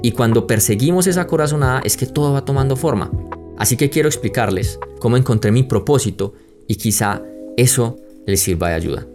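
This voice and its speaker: A man's voice: mid-range voice, expressive, brisk, darkish tone. A young man from Colombia with a Colombian accent, hosting a podcast and telling a personal story in a reflective, conversational way. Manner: reflective, conversational, speaks straight to listeners. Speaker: podcast host. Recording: music playing under the voice.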